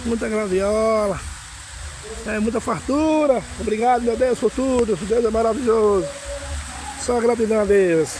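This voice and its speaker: A hoarse man, excitedly exclaiming as he films his farm outdoors in the rain on a phone. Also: high-pitched, moderate pace, background noise. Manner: excited, exclaiming and singing along to music. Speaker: vlogger filming his farm. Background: music playing.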